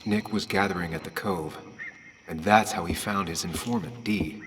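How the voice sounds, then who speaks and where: An actor playing a weary, earnest investigator in a video game drama, measured and brooding. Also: male voice, sits low, noisy background, natural voice. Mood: brooding, pensive. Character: weary adult male former investigative journalist, brooding and earnest. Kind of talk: dramatic game voice acting with inner monologue. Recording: music behind the voice. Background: clear background music.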